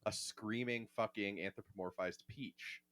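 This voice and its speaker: A man's voice: fairly low pitch, steady tone, moderate pace, a bit bright. A man co-hosting a casual podcast, talking in a joking, wry way. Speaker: podcast co-host.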